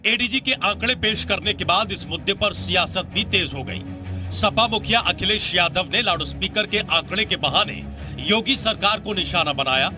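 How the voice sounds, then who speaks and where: A man's voice: high voice, normal speed, over music. A man reading a scripted news voice-over as a television reporter.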